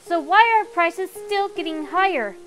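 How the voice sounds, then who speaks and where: A woman playing an insistent, challenging news reporter, pressing and indignant, in an animated comic dub. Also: very high-pitched, a little slow, faint music behind, heavily acted.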